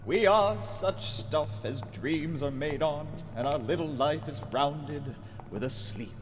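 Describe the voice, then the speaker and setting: A man playing a gloating, domineering dark lord in an audio adventure, with theatrical, commanding delivery. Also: a little high, slow. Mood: languid menace. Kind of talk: audio adventure villain acting. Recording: long cavernous reverb, music underneath.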